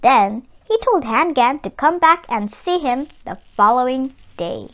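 A woman reading a children's picture book aloud as host, with deliberate, sing-song storytelling phrasing. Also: sits high, expressive, fairly bright tone.

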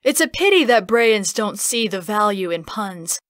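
A woman's voice: medium pitch, sing-song, measured pace, fairly bright tone, no room sound. Audiobook builder female narrator: talkative, proud, pun-loving, close and dry. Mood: pleased. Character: chatty, proud fantasy builder who loves puns. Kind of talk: audiobook character acting.

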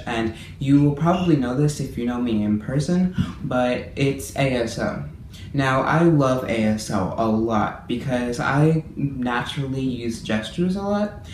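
A young man vlogging to the camera about languages he loves, in an earnest, eager way, slowing down to explain things. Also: medium pitch, darkish tone. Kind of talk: casual to-camera vlog. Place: bedroom, indoors. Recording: echoey room, faint room hiss.

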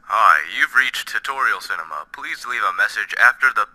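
Casting: young male voicemail greeting, low-pitched but extremely sharp and tinny through a phone filter. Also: expressive, normal speed, no echo. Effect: phone-line and radio filter.

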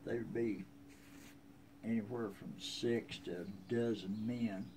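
A man's voice: fairly low pitch, steady tone, takes it slowly. A man in his seventies with a rural American accent, casually reminiscing in a folksy, plainspoken way out on a porch. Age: 70s. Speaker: interviewee telling family history. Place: outdoors on a covered wooden porch. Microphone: handheld phone a few feet away. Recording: outdoor ambient noise.

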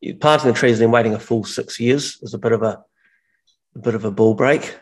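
A man's voice: medium pitch, a little fast, breathy edge, low-quality recording. New man rambling on a video call. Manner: rambling, self-correcting explanations. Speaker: mushroom farmer guest. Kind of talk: casual video call chat. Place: large bare indoor workshop room. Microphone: video call, headphones on.

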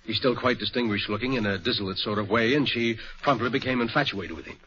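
A man's voice: fairly low pitch, fast talker, sharp tone, a little hiss or hum. An actor playing an earnest, insistent stockbroker father in a 1940s radio drama. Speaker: client. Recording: dull old radio broadcast.

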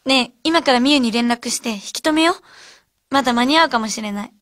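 A woman's voice: fairly high pitch, brisk, fairly bright tone, no room sound, clean studio sound, close to an everyday voice. A woman playing an urgent, loyal teenage schoolgirl in a radio drama.

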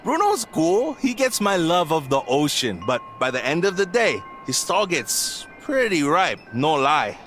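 An actor playing a laid-back young beach-loving stallholder in a video game, easygoing and slangy. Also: male voice, a little high, very animated, measured pace, fairly bright tone, faint music behind. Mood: laid-back, amused. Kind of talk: video game character dialogue.

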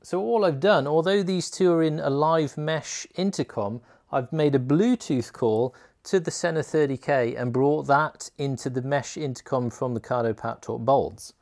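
A man's voice: a little high, moderate pace, few pauses, darkish tone. A man hosting a motorcycle gear video, walking through an informal product tutorial in a chatty, colloquial way.

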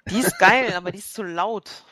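A woman talks casually at a role-playing table. Her voice is mid-pitched and her manner is blunt and informal. The sound is dry, with no room echo. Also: moderate pace, bright, forceful tone. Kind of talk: casual game-table chat.

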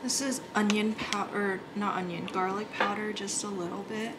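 A woman's voice: mid-range voice, level tone, moderate pace, very noisy. A young woman in a casual cooking vlog, talking through each step as she cooks in a small apartment kitchen. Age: young adult. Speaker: vlogger.

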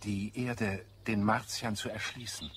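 A low-voiced, earnest scholar played by a male actor in a radio drama. He speaks in a measured, solemn way, like a visionary idealist. Birdsong is clearly audible behind him. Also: steady tone, background noise, natural voice. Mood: solemn, confiding. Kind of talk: German radio play acting. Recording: clear background birdsong and ambience. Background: birdsong in a garden scene.

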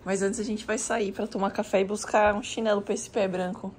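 A woman's voice: mid-range voice, steady tone, normal speed, a little mellow, slight background noise. A woman in her thirties chatting casually to viewers while filming herself in a hotel room. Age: 30s. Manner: chatty, exclaiming, addresses viewers. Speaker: vlogger. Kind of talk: casual vlog chat to camera. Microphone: handheld camera.

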